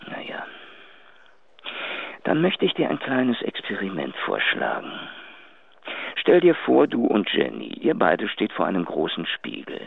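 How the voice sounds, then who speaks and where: Radio drama cad: low, cruel, sneering emphasis, dry and narrow telephone sound. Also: male voice, huge pitch swings, measured pace, creaky, pauses often, breathy edge, heavily acted. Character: cruel, contemptuous adulterous man taunting his partner. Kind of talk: German radio drama acting. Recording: tinny narrow phone-like sound.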